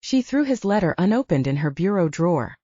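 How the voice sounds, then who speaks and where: A woman's voice: fairly low pitch, very animated, brisk, mellow, slightly rough, dry recording, lo-fi sound. A woman reading literary fiction as a scripted audiobook narration, moving between story and dialogue.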